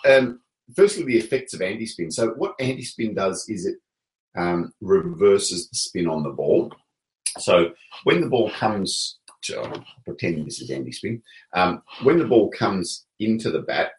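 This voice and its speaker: A man with a very deep voice explains technique in a relaxed, unhurried manner, often pausing on fillers. The sound carries a roomy echo. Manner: relaxed, hesitant with many fillers. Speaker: table tennis coach. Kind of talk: casual coaching explanation.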